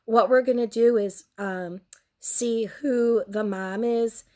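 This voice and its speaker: A woman's voice: a little high, steady tone, a little mellow. A young woman with a cold and a nearly lost voice, hosting a casual commentary show in a crude, sardonic way. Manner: crude, sardonic, slow and drawn out. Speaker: host.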